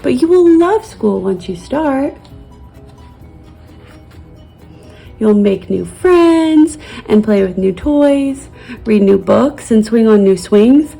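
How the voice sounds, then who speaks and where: A nurturing mother raccoon from a storybook , read by a woman, gentle and reassuring. Her voice is fairly high, warm and mellow, with some creak. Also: expressive, slow, soft background music, heavily acted. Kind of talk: children's storybook read-aloud.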